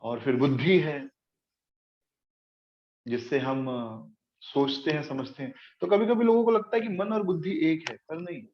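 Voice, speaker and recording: A man's voice: a little high, measured pace, pauses often. A man giving an explanatory spiritual lecture as a teacher, asking his listeners rhetorical questions and mixing in English terms. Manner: asks the listeners rhetorical questions and checks they follow. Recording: echoey room, dull lo-fi sound, faint noise.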